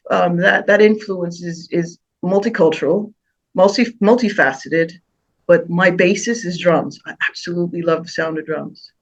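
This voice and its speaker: A woman's voice: on the low side, fairly even intonation, normal speed, a little hiss or hum. Bahamian woman telling stories, lo-fi. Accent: Bahamian with American touches. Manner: warm storytelling, laughs often, many restarts. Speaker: guest singer. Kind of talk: casual interview.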